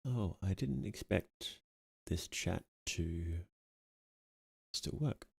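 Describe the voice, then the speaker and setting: Low-voiced man streaming casually. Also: animated, drawn-out words, creaky, slightly rough. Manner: reads text aloud, muses and reacts aloud. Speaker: streamer reading on-screen text and reacting. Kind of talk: casual livestream reading and commentary.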